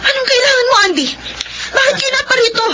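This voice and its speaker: Very high, haughty Filipina. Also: female voice, fast talker, heavy background noise. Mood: alarmed, indignant. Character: haughty, alarmed young woman confronting intruders. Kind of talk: Filipino radio drama acting. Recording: dull radio recording under loud music.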